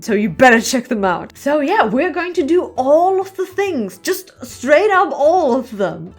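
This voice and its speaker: A woman talking casually and earnestly to her viewers as a channel host, often pausing and repeating words as she finds her phrasing. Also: a little high. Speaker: comic artist and channel host. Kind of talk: casual, heartfelt chat to viewers.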